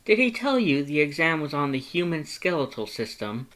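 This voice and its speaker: A high, projected voice for a giant, gentlemanly skeleton. He is cheerful and polite, declaiming each phrase with formal exuberance, like a grand character in an audio storybook. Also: big pitch swings, moderate pace. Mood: proud, chatty. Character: very tall, cheerful, polite, theatrical male skeleton. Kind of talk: storybook fan fiction character voice.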